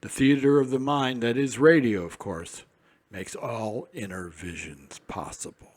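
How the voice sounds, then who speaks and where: A man's voice: mid-range voice, a little slow. A man hosting a radio programme with polished, theatrical scripted narration. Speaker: radio host and guide.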